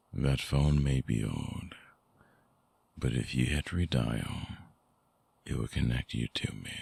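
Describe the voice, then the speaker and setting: A brooding vampire ex-lover, acted by a man, murmurs right up close in an extremely low voice full of heavy fry. He is breathy and husky, lovesick and possessive, coaxing slowly in an intimate ASMR roleplay with a very dry, close sound. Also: dry recording, heavily acted. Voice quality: very deep, breathy, gravelly. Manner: slow, hushed, coaxing, with long pauses. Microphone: close microphone, dry binaural recording.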